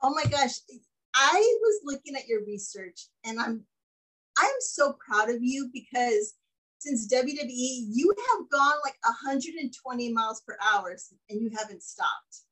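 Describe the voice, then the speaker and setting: A woman's voice: high voice, expressive, measured pace, bright, forceful tone, husky, low-quality recording. A woman hosting a podcast, upbeat and gushing as she welcomes and interviews a guest. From Mexican heritage. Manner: upbeat and gushing, with frequent laughs. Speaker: podcast host. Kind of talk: casual interview chat.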